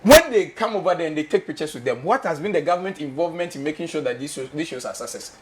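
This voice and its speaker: A man's voice: a little high, lively intonation, fast, very noisy. A Ghanaian man hosting a studio talk show, speaking English with a Ghanaian accent, passionate and emphatic, repeating his questions and slipping into Twi. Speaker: host.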